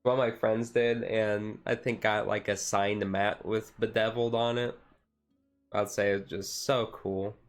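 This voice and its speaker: A man's voice: on the low side, level tone, a little slow. A man streaming a casual, laid-back ASMR chat in a rambling way, with music behind him.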